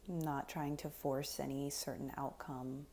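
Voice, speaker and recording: A woman leading a guided meditation in a soft, breathy, unhurried voice that often nears a whisper, giving gentle, soothing instructions. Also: a bass voice, flat delivery, a little hiss or hum.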